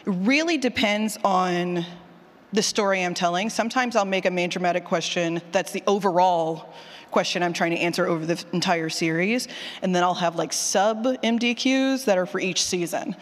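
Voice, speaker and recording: A woman presents casually to a room in a reverberant hall. She is candid and animated, poking fun at herself and voicing her own thoughts as she goes. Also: lowish voice, normal speed. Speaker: presenter, fiction podcast writer. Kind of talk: casual conference talk with audience questions. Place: indoor session room with long reverb.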